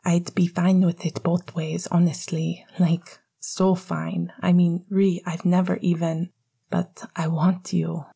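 A woman whispering the lines of an eager young male hockey player, breathless and cursing, in a husky voice. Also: high voice, little pitch movement, slow, dark tone, full character voice. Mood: shy. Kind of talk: whispered audiobook reading.

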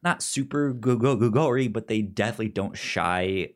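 A podcast host with a noticeable stutter, repeating sounds and syllables while casually retelling a film plot in an animated, acted-out way. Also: medium pitch, normal speed.

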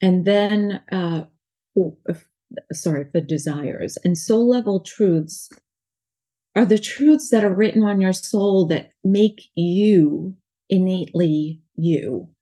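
A woman's voice: lowish voice, slow pace, mellow, slightly rough. A woman in her forties with a New York accent, talking earnestly in an interview as a life coach, rambling and restarting her sentences. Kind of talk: casual interview talk.

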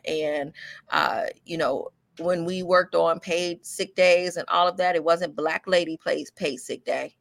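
A woman's voice: on the low side, vocal fry, dry recording. A woman hosting a radio talk show, appreciative toward her guest, often stumbling and repeating words.

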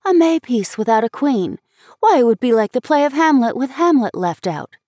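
A woman's voice: on the high side, sing-song, moderate pace, mellow, no room sound, voice pushed up. A poised, teasing schoolgirl voiced by a woman, speaking with arch disbelief and strong emphasis. It is a storybook character, recorded very dry with no room around the voice. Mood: arch, incredulous.

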